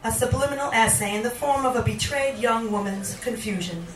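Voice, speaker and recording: A middle-aged woman reading poetry live in a theatrical, deliberate manner into a handheld microphone in a small bar room. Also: fairly high pitch. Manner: theatrical, slow and deliberate when reciting. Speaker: poet, featured reader. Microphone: handheld microphone, captured by a camera in the room. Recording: roomy, noisy bar recording from a distance.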